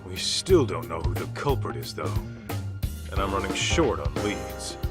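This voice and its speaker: A man's voice: deep voice, very animated, unhurried, over loud music. A man voicing an easygoing, big-brotherly detective in an English game dub, talking casually and reassuringly. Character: laid-back rough-edged male detective, big-brotherly. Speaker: detective's partner.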